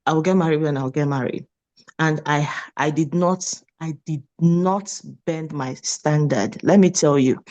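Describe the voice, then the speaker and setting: A Nigerian woman in her late thirties, a nurse, talking with a lively, preachy energy and repeating her points in a casual interview. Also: low voice. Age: 30s. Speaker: nurse and guest.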